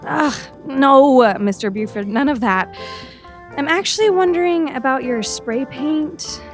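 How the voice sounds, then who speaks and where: A woman's voice: high voice, a little slow, music in the background. A woman playing an inquisitive, determined teenage girl reporter in an audio drama. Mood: awkward, probing. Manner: hesitant when fibbing, insistent when pressing.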